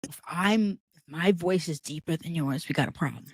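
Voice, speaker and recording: A woman with a deep voice, guesting on a podcast and talking in a loose, rambling way full of "like". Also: normal speed, husky, dry recording. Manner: rambling, heavy use of "like" fillers. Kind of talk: casual chat.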